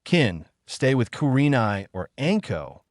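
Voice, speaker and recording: A man's voice: medium pitch, sing-song, takes it slowly, dry recording, clean studio sound. A man reading the part of a commanding young male ninja fighter in a story, terse and authoritative.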